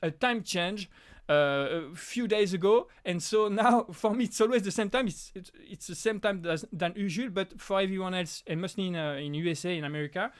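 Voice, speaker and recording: A man's voice: high-pitched, moderate pace, clean tone. A young man from France with a French accent hosting a casual live question-and-answer stream from his apartment, reading out chat messages with frequent restarts. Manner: reads out chat names, frequent restarts and laughs.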